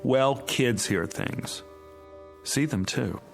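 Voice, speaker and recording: A man's voice: medium pitch, slow, creaky, music in the background. An actor playing a weary, fond but exasperated suburban father in a naturalistic radio drama style. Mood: musing.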